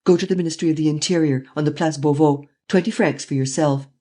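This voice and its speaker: A reader voicing a daring, commanding gentleman thief who speaks urgently and forcefully in an audiobook. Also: medium pitch, quick pace, natural voice. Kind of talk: audiobook reading.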